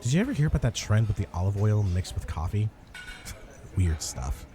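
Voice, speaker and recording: Guy acting as a chatty awkward nice male cafe customer, warm relaxed voice, bouncy intonation, roleplay audio. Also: lowish voice, moderate pace. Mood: chatty, upbeat. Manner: hesitant, rambling, good-natured. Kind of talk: ASMR comedy roleplay acting.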